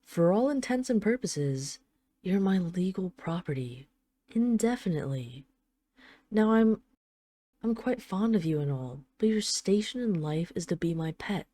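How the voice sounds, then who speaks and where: A woman's voice: lowish voice, expressive, measured pace, warm tone. A woman playing a haughty, teasing dragon queen in an intimate audio roleplay, with an imperious, sarcastic, mock-sweet manner. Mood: condescending, matter-of-fact. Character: haughty, teasing dragon queen, possessive of her human pet husband. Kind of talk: intimate scripted audio roleplay addressed to the listener.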